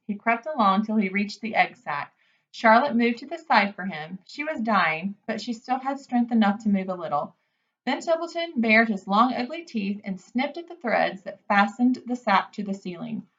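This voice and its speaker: A woman reading a children's book aloud as an expressive narrator. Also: mid-range voice, normal speed, muffled recording.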